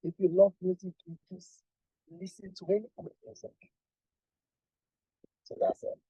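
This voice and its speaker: A man from Nigeria with a husky voice, a guest musician talking at length in a casual interview and restarting his sentences often. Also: high-pitched, steady tone, normal speed, mellow, dry recording, highs cut off. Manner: rambling, repeats and restarts, lists with emphasis.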